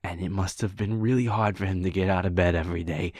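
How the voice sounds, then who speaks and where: A man voicing a caring male werewolf boyfriend in an audio roleplay, talking softly and earnestly, often half-whispered. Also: low voice, fairly even intonation, fast, no room sound. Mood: bitter.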